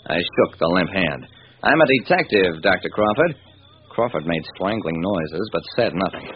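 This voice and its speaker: Vintage radio detective lead,: wry, stubborn, animated narration, thin noisy sound. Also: male voice, sits low, moderate pace, highs cut off. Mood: wry narrating. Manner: wry, hard-boiled.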